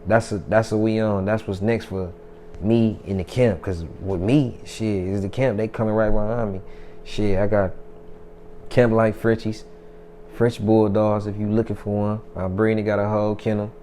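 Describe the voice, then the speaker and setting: A man's voice: lowish voice, flat delivery, moderate pace, warm tone, slight background noise. A man in his twenties, a rapper, talking loosely and boastfully in a casual interview, with plenty of profanity. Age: 20s. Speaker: rapper guest.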